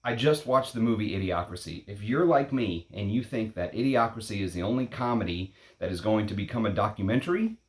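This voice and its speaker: A man in his late thirties hosting a perfume vlog, talking casually in a rambling, opinionated way, blunt and quick to swear. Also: on the low side, expressive. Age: 30s. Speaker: perfume channel host. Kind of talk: casual unscripted vlog talk. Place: at home in Texas.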